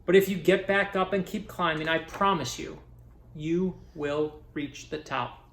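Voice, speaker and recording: A man's voice: fairly high pitch, measured pace, a bit bright, reverberant, slight background noise. A man reading aloud as a determined young girl who is deaf and blind, in an earnest, uplifting way. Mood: encouraging.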